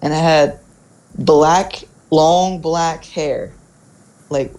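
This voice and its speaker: A man's voice: a little high, steady tone, very slow, a little mellow, low-quality recording. A young man casually telling stories in informal speech, often checking in with "right?" and "like". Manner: informal, peppers talk with "right?" and "like". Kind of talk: casual spoken storytelling.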